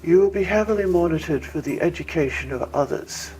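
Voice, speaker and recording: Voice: mid-range voice, dark tone, natural voice, rough recording. A narrator voicing a pompous male bird-like alien mayor full of bureaucratic contempt, in an audiobook story. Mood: curt, stern. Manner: pompous, clipped contempt, drawn-out emphasis. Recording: music bed behind.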